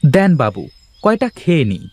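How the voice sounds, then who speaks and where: A man's voice: a little high, big pitch swings, moderate pace, a little mellow, no room sound. A man voicing a stubborn, plain-spoken farm labourer who respectfully protests to his employer in a cartoon folk tale. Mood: placating.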